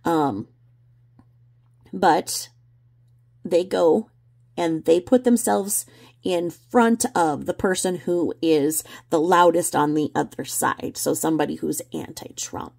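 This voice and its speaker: A woman hosting a casual livestream commentary, explaining in a halting, repetitive, sardonic way. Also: deep voice, vocal fry, darkish tone, slightly rough. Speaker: livestream host commenting on video clips.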